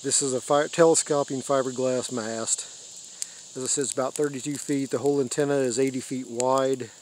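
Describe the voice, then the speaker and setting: An older American man giving a casual, unscripted show-and-tell in halting short phrases, recorded outdoors on a handheld device. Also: medium pitch, fairly even intonation, slight background noise. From United States. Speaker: radio hobbyist giving a tour. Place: open rural backyard, outdoors. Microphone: handheld phone or camera mic.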